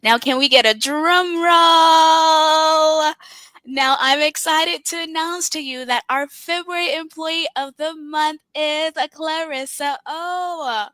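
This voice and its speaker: Upbeat woman host, higher voice, scripted announcements, dry sound. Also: slow pace, pushed and bright, no room sound. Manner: upbeat presenter, reading names.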